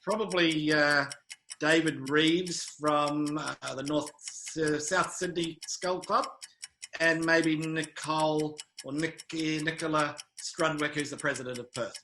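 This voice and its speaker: A man's voice: fairly high pitch, fairly even intonation, slow pace, pushed and bright, a little hiss or hum. A New Zealand man in his fifties, giving short, dry, good-humoured interview answers with hesitant fillers. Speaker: interview guest, travel sales manager.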